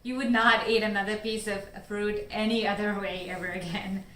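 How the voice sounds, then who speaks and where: Woman from Sri Lanka, small-business founder, earnest and measured delivery, mid pitch, echoey room. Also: level tone, a bit bright. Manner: earnest, measured explanations with occasional fillers. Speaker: spice company founder. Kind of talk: scripted-sounding interview answers.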